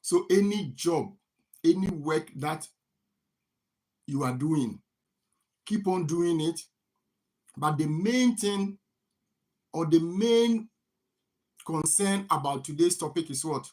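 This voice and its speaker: A man's voice: fairly high pitch, animated, takes it slowly, long pauses, darkish tone. A man from Ghana who hosts a farming channel. He gives a motivational talk on finance, repeats phrases, and asks rhetorical "what?" questions that he then answers himself.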